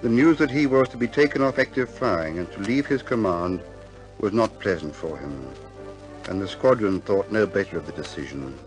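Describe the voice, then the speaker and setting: A man's voice: on the low side, animated, normal speed, natural voice, low-quality recording. A man narrating a 1950s war film in a solemn, scripted style. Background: faint music.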